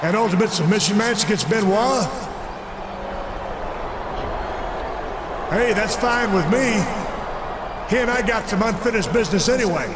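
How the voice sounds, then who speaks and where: A man playing a fired-up challenger wrestler in a video game, delivering a forceful, defiant promo. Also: high voice, fairly quick, lightly acted. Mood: confident. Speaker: pro wrestler. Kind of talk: scripted arena promo. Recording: echoey arena reverb, noisy.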